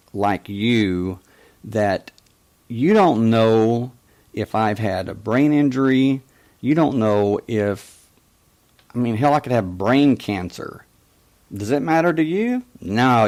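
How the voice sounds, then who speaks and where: A man in his fifties who stutters and stammers, hosting a call-in show in a casual monologue, often pausing to search for words. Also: on the low side, darkish tone. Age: 50s. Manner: many pauses and hesitations.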